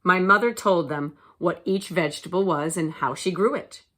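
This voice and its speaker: A woman teacher reading a children's storybook aloud with deliberate, expressive phrasing for young listeners. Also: on the low side.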